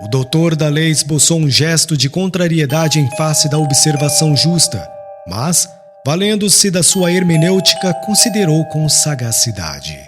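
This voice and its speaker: A man's voice: on the high side, big pitch swings, normal speed, over music. A man narrating a literary audiobook in a formal, dramatic manner.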